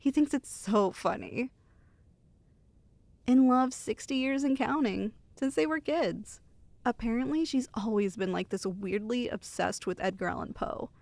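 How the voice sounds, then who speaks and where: A young woman acting as a teasing, affectionate girlfriend in an ASMR roleplay. Her voice is fairly high and swings freely up and down as she chats reassuringly to one listener. It is close-miked and very dry, with a playful, intimate manner. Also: creaky, no echo. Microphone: close studio mic.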